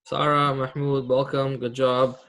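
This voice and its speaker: A man's voice: mid-range voice, little pitch movement, unhurried, fairly bright tone. A man from Pakistan in his thirties, a teacher and imam, running a casual online class for teens with a quiz. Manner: casual and friendly, many fillers. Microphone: video call.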